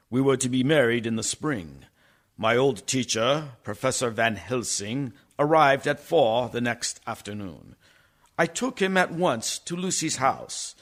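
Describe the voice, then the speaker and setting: An actor in a radio play playing an earnest young doctor, narrating in a formal, deliberate way. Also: male voice, medium pitch, fairly bright tone.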